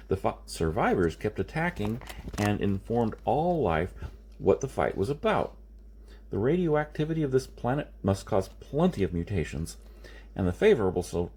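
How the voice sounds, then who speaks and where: An insistent man lectures and explains with great emphasis , as read by a male audiobook narrator. His husky voice is lowish and swings widely in pitch as he reasons. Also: darkish tone, heavily acted. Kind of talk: audiobook character dialogue.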